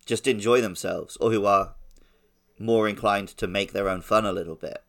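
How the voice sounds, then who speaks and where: A man with a heavily creaky, lowish voice chats as a podcast co-host. His delivery is conversational and informal, the sort used for talking games over with friends. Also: steady tone, normal speed, fairly bright tone. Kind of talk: casual gaming podcast discussion.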